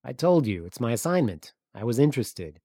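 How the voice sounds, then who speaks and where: A man reads a visiting journalist in an audiobook. He sounds understated, easygoing and a little evasive, in a mellow, matter-of-fact voice recorded dry. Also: medium pitch, big pitch swings, brisk, dry recording. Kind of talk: audiobook character dialogue.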